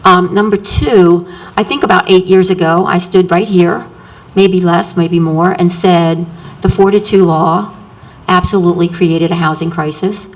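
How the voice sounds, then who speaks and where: A woman's voice: fairly low pitch, steady tone, measured pace, rough recording. A woman landlord giving an earnest, emphatic public comment at a city council work session, repeating her points. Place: council chamber.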